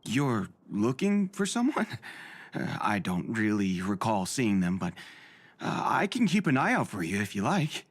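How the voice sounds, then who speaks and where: An actor playing a nervous, deferential young underling in a video game, hesitant and stammering, with music behind him. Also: male voice, on the low side, sing-song, a little slow. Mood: eager, awkward.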